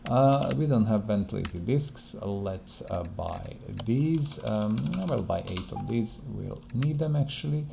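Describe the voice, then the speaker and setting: A man's voice: on the low side, lively intonation, measured pace, gravelly, few pauses, noisy background. A man who is not a native English speaker, giving casual game playthrough commentary in a hesitant way, searching for words and repeating himself. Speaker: gaming video host.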